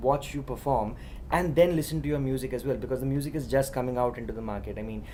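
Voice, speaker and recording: Fast-talking woman with a very low voice. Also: warm tone, slight background noise. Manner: eager, leading questions, many "you know" fillers. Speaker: talk show host. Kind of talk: interviewing a guest.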